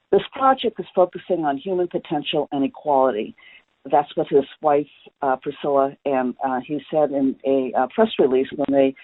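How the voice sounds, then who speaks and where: A man walks listeners through slides, explaining each example at length and filling his pauses with "uh". His voice sits fairly high and comes across dry and close. The sound is thin and phone-like, as over a conference line. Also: no room sound. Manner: explanatory, with frequent "uh" fillers. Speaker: webinar presenter. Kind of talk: presenting slides in a webinar. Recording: tinny phone-line webinar audio.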